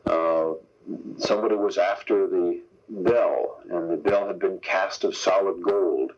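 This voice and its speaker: Low, husky male radio interviewer. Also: a little slow, a little mellow. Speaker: radio show host, interviewer. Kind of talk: broadcast interview. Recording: dull lo-fi radio broadcast tape.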